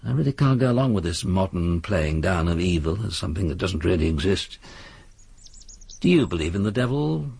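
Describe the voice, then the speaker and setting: An actor playing a worldly middle-aged English gentleman in a radio drama, husky and urbane, with measured, courteous speech. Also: sits low, animated, exaggerated voice. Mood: grave, brooding. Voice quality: husky, gravelly.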